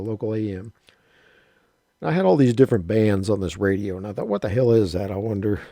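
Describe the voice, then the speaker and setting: A man's voice: fairly low pitch, warm tone, no room sound, studio quality. A man in his fifties from Southern Illinois, telling a rambling, folksy story about his early radio hobby in a casual way. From Southern Illinois, USA. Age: 50s. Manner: rambling, folksy, with mild cursing and frequent restarts. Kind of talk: casual spoken reminiscence.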